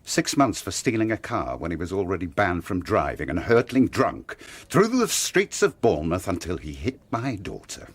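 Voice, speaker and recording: A bitter male doctor in a radio drama, with a lowish, husky voice. He speaks formally and insistently, in measured phrases that swing widely in pitch with anguish. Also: very animated, dry recording, heavily acted.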